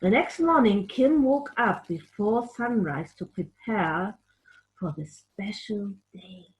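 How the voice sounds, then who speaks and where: Slow, expressive woman reading to children. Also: mid-range voice, low-quality recording. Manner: expressive, addressing children with questions. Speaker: children's storyteller and puppeteer. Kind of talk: picture-book story narration for children.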